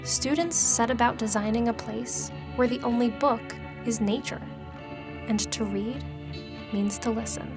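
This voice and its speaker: High-voiced woman narrating a travel report. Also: measured pace, over music.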